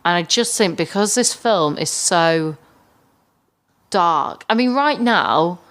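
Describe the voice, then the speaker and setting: A woman's voice: on the low side, fairly bright tone. A woman co-hosting a podcast, chatting casually about a film, thinking aloud with hedges and emphatic repetition. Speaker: podcast co-host. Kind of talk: casual film review chat.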